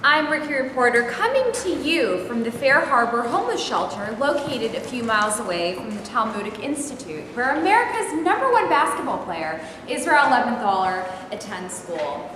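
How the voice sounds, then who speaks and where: A woman's voice: on the high side, big pitch swings, moderate pace, few pauses. Woman as an eager news reporter in a very echoey hall. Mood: dramatic, announcing. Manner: declarative, formal, probing. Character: eager, self-important TV star reporter. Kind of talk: mock news report in a staged play reading. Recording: echoey hall, clear background noise.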